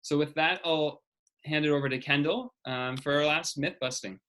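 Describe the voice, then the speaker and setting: A man's voice: fairly high pitch, normal speed, pushed and bright. A young man presenting a structured argument on a video call, articulate with only occasional fillers. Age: young adult. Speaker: youth network presenter.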